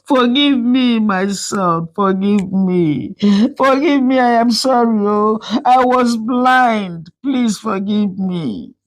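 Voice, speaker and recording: A woman's voice: sing-song, slow pace, heavily acted, poor audio. A woman voicing a weeping, remorseful older mother-in-law who confesses and begs. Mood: pleading. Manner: weeping, pleading, raised pitch.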